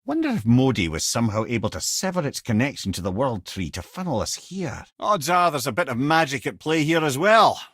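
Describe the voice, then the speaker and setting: A man's voice: mid-range voice, big pitch swings, brisk, few pauses, fairly bright tone, no echo, clean studio sound. An actor voicing a witty, learned old male sage and storyteller in a video game, with a Scottish accent and a wry, erudite way of talking. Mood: thoughtful, explaining.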